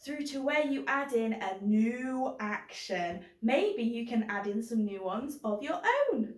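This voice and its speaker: Female kids' book club host: high pitch, unhurried, roomy echoing sound. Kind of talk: children's presenting.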